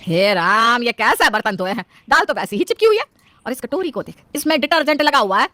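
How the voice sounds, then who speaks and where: A woman's voice: fairly high pitch, sing-song, fairly quick, sharp tone, no echo. A woman voicing a bossy, fault-finding middle-aged mother-in-law in a family story, in a scolding, demanding manner. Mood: critical.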